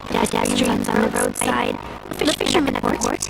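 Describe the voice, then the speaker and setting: A woman's voice: rumbling low, wildly swooping pitch, moderate pace, gravelly, full character voice, rough recording. A woman voice-acting a bold, perceptive young traveler in a video game. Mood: grave, ominous. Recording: music behind the voice.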